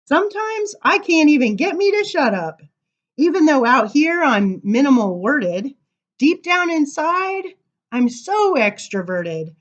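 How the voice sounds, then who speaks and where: High-voiced, animated woman reading to children. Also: unhurried, highs cut off. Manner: animated, sing-song, emphatic reading. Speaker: children's storytime librarian reading poems aloud. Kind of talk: expressive poetry read-aloud for children with casual asides.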